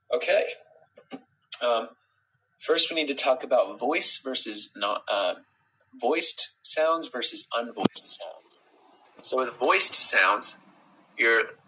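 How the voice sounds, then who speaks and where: A young man from Utah with a General American accent, teaching a live English pronunciation lesson in a relaxed, friendly way and greeting viewers from the chat. He sounds close to a phone camera in a small indoor room. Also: fairly low pitch, slight background noise, low-quality recording. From Utah, United States. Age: young adult. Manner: relaxed, friendly, explains step by step with fillers.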